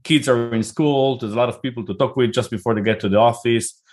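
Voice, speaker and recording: A man's voice: medium pitch, fast talker. A middle-aged man with an Israeli accent, a guest entrepreneur talking casually in an interview, often repeating words as he starts a thought. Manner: repeats words when starting, chatty and relaxed.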